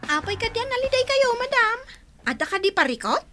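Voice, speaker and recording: Actress as coaxing house helper in radio comedy drama, bright, lively, music underneath. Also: female voice, very high voice, fairly quick, full character voice. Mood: concerned, coaxing. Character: chirpy, attentive female household helper addressing her madam.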